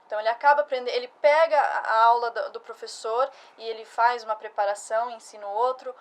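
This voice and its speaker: Woman in her thirties, academic director type, explains patiently, voice a bit high. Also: steady tone, fast. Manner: explanatory, uses fillers like "ah" and "né". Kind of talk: casual interview answers.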